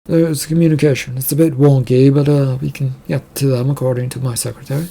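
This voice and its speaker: A man's voice: medium pitch, little pitch movement, fairly quick, vocal fry, mellow, slightly rough. A man voicing a troubled, insistent male shipping company boss in a story, with an urgent, grumbling manner. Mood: anxious.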